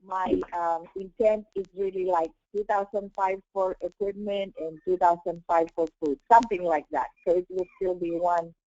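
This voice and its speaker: A woman on a muffled conference call, asking questions in a halting, self-correcting way. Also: lowish voice, steady tone, warm tone, dry recording.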